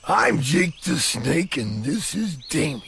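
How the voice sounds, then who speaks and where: Gravelly creepy snake-handling wrestler. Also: male voice, on the high side, big pitch swings, slow, very noisy, heavily acted. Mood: sly.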